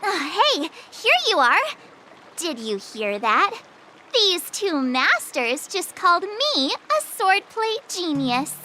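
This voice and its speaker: A woman voicing an excitable, boastful teenage girl apprentice in an English video game, chirpy, playful and dramatic. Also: very high voice, slow, slight background noise, full character voice. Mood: eager, showing off.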